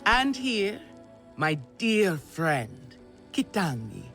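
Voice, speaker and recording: A man's voice: high-pitched, very animated, very slow, fairly bright tone, full character voice. A man playing a flamboyant, doting alien clan elder who loves silk, in theatrical game cutscene style with music behind him. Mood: playfully disdainful. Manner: theatrical, effusive. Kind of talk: video game cutscene acting.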